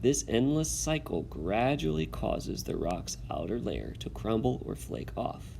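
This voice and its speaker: Low-voiced male teacher, lively intonation. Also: vocal fry, slight background noise. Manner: instructive, pausing to check understanding. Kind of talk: instructional video with read-aloud.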